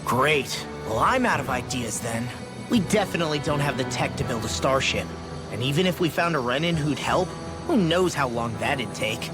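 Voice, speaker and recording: A man's voice: mid-range voice, expressive, normal speed, over music, natural voice. A man playing a young, brash and skeptical fighter in a fantasy video game cutscene. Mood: frustrated. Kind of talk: English dubbed video game cutscene acting.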